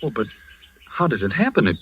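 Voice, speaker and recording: Pompous rich host, overbearing, lively pitch, lo-fi vintage radio. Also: male voice, a little fast, slightly rough. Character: pompous, smooth wealthy male party host. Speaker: radio drama actor. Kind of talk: 1940s radio acting.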